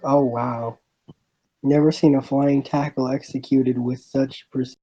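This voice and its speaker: A man's voice: medium pitch, flat delivery, measured pace, dark tone, natural voice. A man voices a wry young male student in a visual novel. His mid-range voice narrates with dry sarcasm and mild bemusement. The recording is a little dull and muffled. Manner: dry, sardonic.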